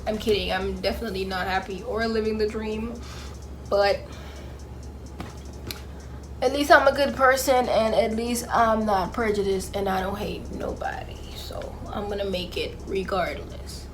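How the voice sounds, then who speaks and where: A woman's voice: medium pitch, fairly even intonation, unhurried, background noise. A young woman from Jamaica with a mixed Jamaican and Bahamian accent, talking casually to camera with sassy, sarcastic asides. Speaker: vlogger.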